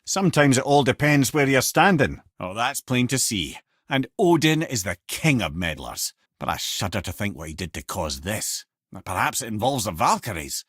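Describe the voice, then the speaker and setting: A man's voice: mid-range voice, a bit bright, no room sound, studio quality. An actor voicing a wise, wry older Scottish man, a talking severed head in a video game, with a theatrical, folksy manner. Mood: wry, reflective. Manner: wry, theatrical, folksy, addresses listeners as brother and lad. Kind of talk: expressive video game character acting, storytelling and banter.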